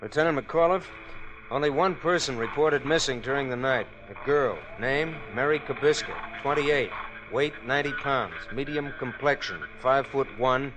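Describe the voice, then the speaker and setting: A brisk police dispatcher played by a man in a vintage radio crime drama, with a medium-pitched voice and methodical, official delivery. The old recording carries faint noise. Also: natural voice. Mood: businesslike.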